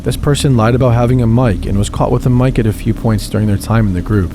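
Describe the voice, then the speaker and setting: A man narrating first-person gaming horror stories in a steady, measured reading. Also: mid-range voice, noisy background. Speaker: channel host reading submitted stories. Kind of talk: scripted horror story narration.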